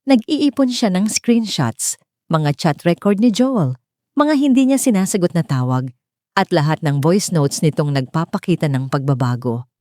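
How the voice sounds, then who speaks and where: A woman narrating a scripted dramatic story in a measured, solemn and sentimental way. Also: on the low side, a little mellow, no room sound, clean studio sound.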